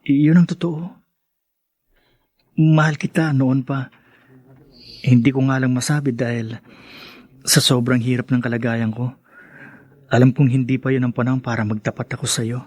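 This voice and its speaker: A man's voice: mid-range voice, flat delivery, normal speed, pauses often, darkish tone, no room sound, natural voice. Actor as a bashful young Filipino man, dry studio sound. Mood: tender, sincere. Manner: hesitant, earnest. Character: humble, bashful young working man, tender and sincere. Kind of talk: radio drama acting.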